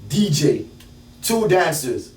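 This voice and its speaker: A man with a South Florida accent, a rapper telling stories in an animated, slangy way in a casual interview chat. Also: on the high side, slow. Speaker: rapper guest. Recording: roomy, mic at a distance.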